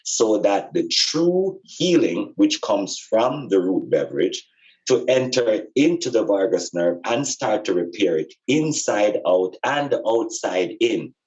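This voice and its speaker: A man giving an informal, explanatory spiritual talk in a deliberate, emphatic way, repeating phrases for stress. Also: on the low side, very animated, darkish tone, rough recording. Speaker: podcast speaker and spiritual teacher.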